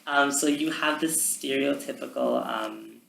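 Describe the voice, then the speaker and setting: Young man with a gravelly voice. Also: mid-range voice, unhurried. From Canada. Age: young adult. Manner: relaxed, many ums, talks at length. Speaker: student. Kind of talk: casual conversation about language. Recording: roomy with faint background noise.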